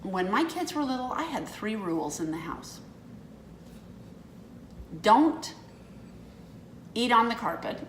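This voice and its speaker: A woman's voice: fairly high pitch, big pitch swings, slow pace, long pauses, reverberant, slight background noise, poor audio. A woman in her fifties co-hosting a casual chat, telling rambling stories that keep correcting themselves. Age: 50s. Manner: rambling, self-correcting storyteller. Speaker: co-host.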